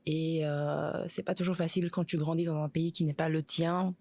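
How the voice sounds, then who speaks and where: A woman in her thirties from Belgium, chatting as a podcast guest, hesitant with many fillers and quick to laugh. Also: deep voice, level tone, a little mellow, no echo. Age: 30s. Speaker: podcast guest, content creator. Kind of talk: casual interview chat.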